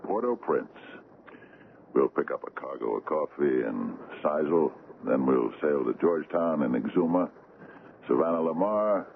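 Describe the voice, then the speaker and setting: An actor playing an easygoing, plain-spoken tramp steamer captain in a radio drama. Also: male voice, very deep voice, animated, unhurried, vocal fry, full character voice. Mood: easygoing, content. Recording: tinny old radio broadcast, faint noise.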